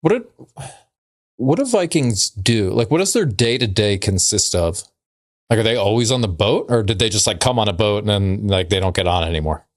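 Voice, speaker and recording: A low-pitched male voice in casual podcast banter. He is a laid-back co-host who delivers jokes and asides with a wry touch. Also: animated, moderate pace. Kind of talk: casual banter and joke telling.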